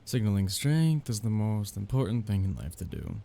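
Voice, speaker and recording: A man playing a soft-hearted, nervous young mafia heir in an intimate ASMR romance roleplay, speaking softly, haltingly and apologetically. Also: on the low side, big pitch swings, creaky. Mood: awkward, reluctant.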